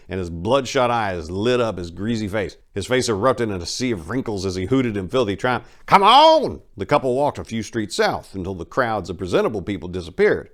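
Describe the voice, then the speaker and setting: A man with a low voice narrates crime fiction. He reads from a script in a dramatic style, as the storyteller.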